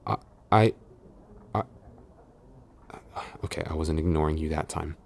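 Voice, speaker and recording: A man's voice: very deep voice, unhurried, gravelly, slight background noise, heavily acted. A man playing a flustered tsundere boyfriend and office supervisor in an intimate audio roleplay, stammering, exasperated and defensive as he scolds.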